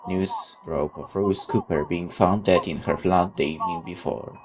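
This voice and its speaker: A man's voice: sits low, moderate pace. A man hosting a gaming video, reading visual novel text aloud and adding casual commentary.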